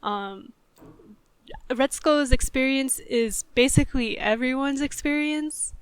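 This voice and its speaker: A woman's voice: sits high, unhurried, clean tone, dry recording. A woman co-hosting a podcast, talking through a topic in a casual, analytical and thoughtful way. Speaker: podcast host.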